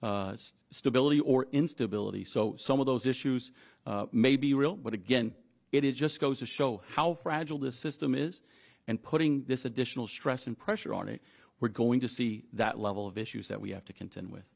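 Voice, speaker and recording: A man speaking as a union leader at a press conference, emphatic and deliberate, repeating phrases for stress. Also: medium pitch, gravelly, a little mellow. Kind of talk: press conference statements and answers.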